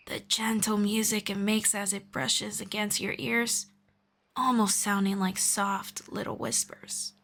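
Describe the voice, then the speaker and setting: A woman voicing a gentle, patient female elf mentor in an ASMR roleplay, speaking softly and slowly and slipping into whispers. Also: medium pitch. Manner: soft-spoken, slow and patient, drifting into whispers. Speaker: voice actor.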